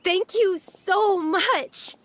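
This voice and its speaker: A woman playing an earnest, doubtful young woman from a strict family in a video game, hesitant and expressive. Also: extremely high pitch, drawn-out words, exaggerated voice. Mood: relieved, earnest.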